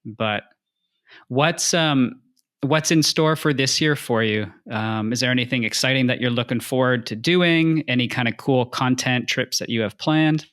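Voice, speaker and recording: A man hosts a podcast interview in a bright, forward voice at an average pitch. His questions ramble and circle back on themselves before they land. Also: moderate pace. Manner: rambling, piles up rephrased questions. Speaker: podcast host. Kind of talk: casual interview chat.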